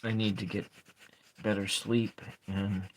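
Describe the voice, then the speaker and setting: A man with a calm voice chatting softly with viewers while he draws and colors tarot cards, trailing off and restarting as he works. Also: slow pace, a little hiss or hum, poor audio. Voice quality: calm speaking voice. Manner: quiet, low-key chatting with viewers. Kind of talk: soft-spoken ASMR art livestream chat.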